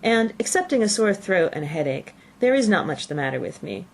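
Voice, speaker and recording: A woman voicing a gentle, unwell young woman's letter in an audiobook, mild and politely reassuring. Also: medium pitch, sing-song, a little fast, a little hiss or hum.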